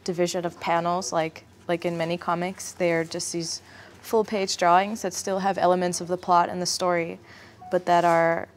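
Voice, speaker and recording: A woman's voice: lowish voice, flat delivery, normal speed, slight background noise. A woman explaining her creative process as an animator in an interview.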